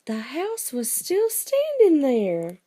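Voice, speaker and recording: A woman's voice: high-pitched, wildly swooping pitch, slow pace, warm tone. A woman telling bedtime stories to a child in a playful, chatty way, often prompting with questions. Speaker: storyteller to a child. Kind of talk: bedtime storytelling with casual chat.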